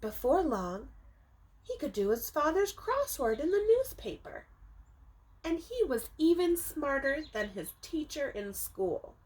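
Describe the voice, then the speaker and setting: A woman teacher reading a picture book aloud to young children, expressively, with dramatic emphasis and drawn-out words, speaking to them directly. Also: high voice, sing-song, a little mellow, low-quality recording. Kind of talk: animated children's storybook read-aloud.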